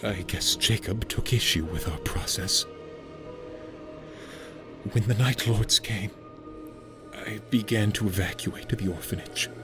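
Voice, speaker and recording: An actor playing a grieving uncle who once kept an orphanage, speaking slowly in a hushed, halting way. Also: male voice, on the low side, close to an everyday voice. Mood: grave. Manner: slow, hushed and halting, rising to anguish. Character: grieving older male orphanage keeper, haunted. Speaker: uncle, former orphanage caretaker. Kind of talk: fan audio drama acting. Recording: music score behind.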